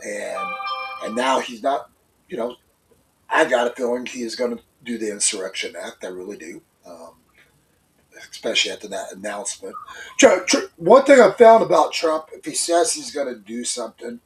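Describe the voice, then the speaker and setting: A man with a stuffed-up, congested voice co-hosts a casual morning talk show. He rambles through his points with plenty of fillers and restarts. His voice sits at a middle pitch and sounds a little distant from the microphone. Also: big pitch swings, moderate pace. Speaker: co-host. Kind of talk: casual opinion chat. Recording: voice a bit far from the mic.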